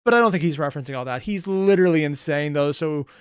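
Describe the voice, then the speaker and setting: A man's voice: on the high side, quick pace, smooth, no room sound, clean studio sound. A man hosting a reaction video, giving off-the-cuff, sarcastic and exasperated commentary full of rambling asides.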